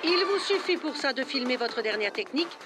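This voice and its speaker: A woman's voice: high voice, lively intonation, brisk, over loud music. A woman playing a showy TV entertainment presenter in a cartoon dub, announcing with grand, teasing showmanship. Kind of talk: animated cartoon dub acting.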